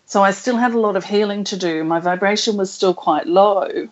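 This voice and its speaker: A woman being interviewed as a spiritual channeler, speaking slowly and thoughtfully with soft laughs. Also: medium pitch. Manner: slow, thoughtful, laughs softly. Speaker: guest channeler. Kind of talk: casual interview chat. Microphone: internet call. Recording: muffled call audio.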